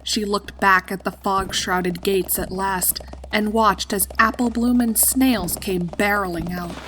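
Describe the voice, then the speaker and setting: A woman narrating a scripted horror story in a dramatic, deliberate storytelling manner. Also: mid-range voice, fairly even intonation, a bit bright, a little hiss or hum, rough recording. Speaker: fan fiction narrator.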